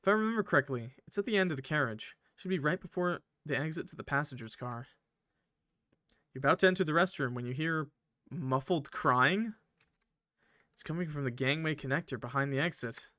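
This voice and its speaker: Higher-voiced man reading a visual novel. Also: fairly quick, dry recording, clean studio sound. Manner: expressive, informal. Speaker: gaming video creator. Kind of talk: visual novel let's play, reading aloud with casual reactions.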